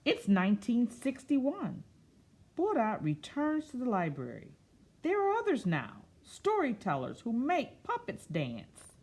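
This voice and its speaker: A woman's voice: fairly high pitch, huge pitch swings, a little slow, darkish tone, breathy edge. A woman reading a picture book aloud to children, drawing out phrases expressively and breaking off into simple, casual explanations. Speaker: children's storyteller.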